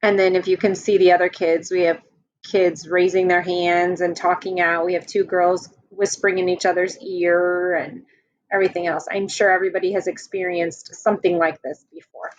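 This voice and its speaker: A woman teacher reading a picture book aloud to children, gently pausing to ask them questions about the pictures. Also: lowish voice, flat delivery. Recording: dull lo-fi recording.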